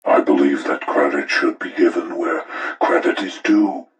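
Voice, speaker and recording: An older killer mastermind for a horror game, with a rock-bottom, gravelly voice. He moralizes and taunts calmly, every word slow and ominous. The sound is filtered like a tape playback, echoing and set back from the microphone. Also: a bass voice. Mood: cold, accusing. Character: menacing older male serial killer mastermind, calm and moralizing. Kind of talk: scripted villain monologue for a horror game. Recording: roomy, distant, radio-like playback.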